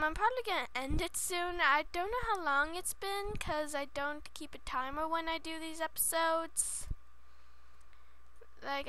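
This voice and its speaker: A woman's voice: very high-pitched, pushed and bright, a little hiss or hum. A young woman rambling casually while playing a block-building game, with little giggles and a slightly stuffy, congested voice, on a home computer mic. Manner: chatty and rambling, giggly asides. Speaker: gaming channel host. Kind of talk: casual let's play commentary.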